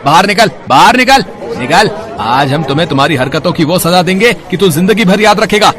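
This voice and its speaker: A man voicing an angry mob member in a cartoon moral story, shouting menacing threats. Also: high voice, lively intonation, a little fast, crowd noise. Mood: furious.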